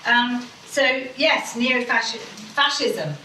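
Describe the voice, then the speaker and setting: A woman's voice: fairly high pitch, lively intonation, slow pace, heavy background noise. A woman speaking on a panel, emphatic and rambling, swearing for emphasis. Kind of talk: impassioned public panel speech. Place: conference hall. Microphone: distant mic in a room. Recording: echoey hall from far off.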